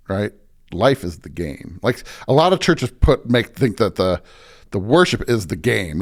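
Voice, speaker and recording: A man speaking as a pastor in a conversational interview, thinking aloud in halting phrases and often checking in with the listener. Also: fairly low pitch. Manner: halting, restarts thoughts, often checks in with "right?". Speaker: pastor, podcast guest. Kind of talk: conversational reflection on faith.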